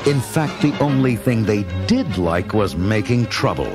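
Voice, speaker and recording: A man's voice: lowish voice, lively intonation, a little slow. A man narrating a children's read-along adventure in a dramatic, theatrical scripted style. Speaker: story narrator. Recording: loud music and effects behind narration.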